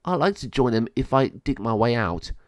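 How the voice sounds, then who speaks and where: A man's voice: mid-range voice, big pitch swings, normal speed, darkish tone, no echo, close to an everyday voice. A man voicing a weary but friendly, determined caged dog in a story, with an emphatic, buddy-like manner. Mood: reassuring.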